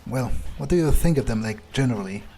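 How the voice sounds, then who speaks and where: A man's voice: mid-range voice, expressive, fast, vocal fry, dark tone, soft background music, rough recording. A man roleplaying a polite, inquisitive young fledgling vampire in a video game, speaking hesitantly and tentatively. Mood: probing.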